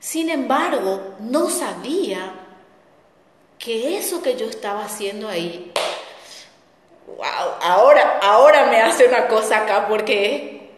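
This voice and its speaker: A woman's voice: on the high side, animated, takes it slowly, pauses often, slight background noise. A middle-aged woman telling a personal story as a spiritual talk host, reflective and repeating words for weight. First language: Spanish. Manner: reflective, warm storytelling with repetitions. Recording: echoey room with long reverb.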